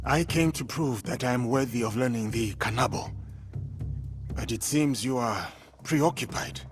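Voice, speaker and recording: Game cutscene hero male actor, principled student warrior, mid-range voice, respectful formal delivery, clear score underneath. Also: mid-range voice, normal speed, lightly acted. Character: humble, principled warrior student seeking weapons training. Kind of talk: dramatic game cutscene acting. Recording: music behind the voice.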